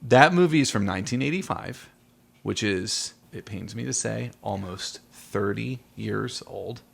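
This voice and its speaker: A man's voice: on the low side, unhurried, gravelly, slightly rough. A man co-hosting a podcast in casual movie chat with a child, teasing and playful as he talks. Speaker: podcast host.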